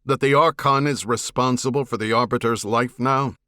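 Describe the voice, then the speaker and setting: A man's voice: mid-range voice, fairly even intonation, normal speed, bright, forceful tone, no echo, studio quality, natural voice. A man voicing a blunt, seasoned alien warrior subordinate with a formal, emphatic way of speaking, in an audiobook. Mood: pointed, suspicious. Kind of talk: audiobook character dialogue.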